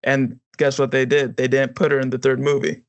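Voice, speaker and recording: A man's voice: mid-range voice, flat delivery, a little fast, no echo. A man co-hosting a podcast in a casual film discussion. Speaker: podcast co-host.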